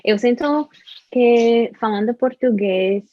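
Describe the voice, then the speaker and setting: A young woman, a native Spanish speaker, talking in an interview about learning Portuguese, with careful, halting speech and restarts. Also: a little high, no room sound, lo-fi sound. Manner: slow, careful speech with frequent restarts and laughs. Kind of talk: casual conversational interview.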